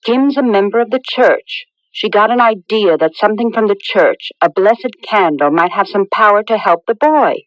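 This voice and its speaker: An actress playing an impatient, skeptical young fiancée in a radio drama, firm and dismissive. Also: female voice, medium pitch, animated, normal speed, hardly any pauses, no echo, natural voice. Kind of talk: 1980s radio drama acting. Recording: dull lo-fi radio broadcast recording.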